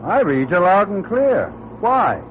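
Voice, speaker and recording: Muffled, level-headed astronaut. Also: male voice, high voice, very animated, slow, exaggerated voice. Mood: businesslike. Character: male astronaut crewman, brave, devoted and level-headed. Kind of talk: old-time radio drama acting. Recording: muffled, very noisy old radio recording.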